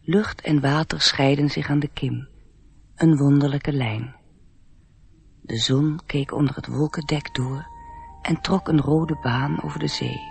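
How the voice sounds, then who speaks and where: A man's voice: a little high, fairly even intonation, measured pace, vocal fry, husky, exaggerated voice. A man narrating a radio play in a scripted, literary storytelling style.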